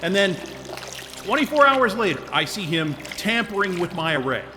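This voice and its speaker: A blunt, swearing man on edge, with a high, bright and forceful voice. His lines ring with a long reverb, and music plays softly behind. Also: big pitch swings, normal speed, a little hiss or hum, heavily acted. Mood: strained. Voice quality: blunt and profane. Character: stressed isolated man, grumpy, blunt, swearing. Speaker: lead character. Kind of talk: audio drama acting.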